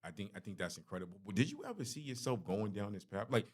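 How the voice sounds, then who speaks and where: A man's voice: fairly low pitch, very animated, quick pace, breathy edge. A man in his forties hosting a podcast interview, talking in laid-back, slangy banter. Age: 40s. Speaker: podcast host. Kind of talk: casual interview chat.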